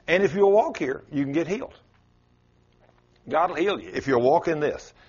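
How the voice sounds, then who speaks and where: A man with a South Texas drawl preaches in a plain, folksy style. His pitch rises and falls widely for emphasis, and his voice has a husky edge. The recording sounds dull and muffled. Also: lively intonation, moderate pace, darkish tone. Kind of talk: sermon.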